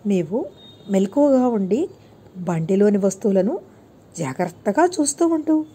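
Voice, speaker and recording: A woman's voice: medium pitch, very animated, measured pace, warm tone, breathy edge. A woman voicing a learned male guru who instructs and admonishes his disciple in a children's storybook tale. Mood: calm instructing. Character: learned male guru, patient but scolding teacher.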